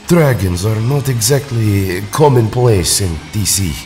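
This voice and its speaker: A man's voice: lowish voice, animated, measured pace, darkish tone. A man playing a stern, grumbling superhero teammate in an audio drama. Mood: disapproving. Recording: reverberant, music behind.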